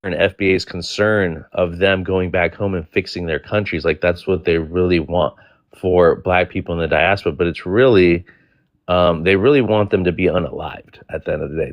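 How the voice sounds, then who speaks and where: A man's voice: sits low, no room sound. A man hosting a casual live-stream panel, rambling and exasperated, with frequent restarts. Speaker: panel host.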